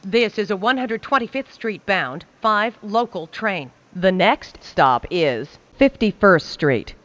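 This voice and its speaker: A woman delivers scripted train announcements slowly and deliberately. Her intonation rises and falls clearly, and each phrase is carefully enunciated. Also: mid-range voice, expressive, fairly bright tone, no echo. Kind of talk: scripted automated transit announcements.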